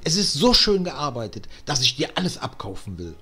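A young fairy-tale princess, acted out by a man reading aloud, proud and quick to get excited. The pitch swings freely, and the sound is a little husky over faint music. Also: full character voice. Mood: delighted. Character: young princess, delighted by gold, proud, easily alarmed.

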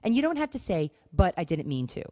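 Low-pitched woman, emphatic talk. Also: very animated, fast talker, mellow, dry recording, clean studio sound. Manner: emphatic, acts out example phrases. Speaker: podcast co-host. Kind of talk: conversational talk on marriage advice.

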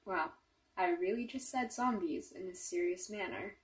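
A woman playing a snappish, grudgingly caring young zombie apocalypse survivor in an audio roleplay, curt and halting with dry sarcasm. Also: mid-range voice, close to an everyday voice. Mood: weary, dry. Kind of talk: first-person audio roleplay, naturalistic acting. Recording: slightly distant from the mic.

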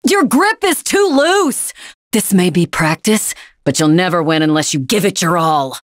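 A woman's voice: on the low side, expressive, unhurried, dry recording, studio quality. A woman playing a tough, proud young female fighter in a video game, blunt and commanding. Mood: confident, stern. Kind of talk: English game character voice lines.

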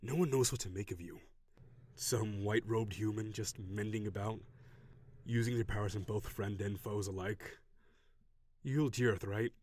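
A man voicing a proud, gruff tsundere dragon warrior in an audio roleplay, brusque and defensive with grudging sighs. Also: fairly low pitch, fairly even intonation, normal speed, long pauses, a little mellow, no echo, lightly acted. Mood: gruff, questioning.

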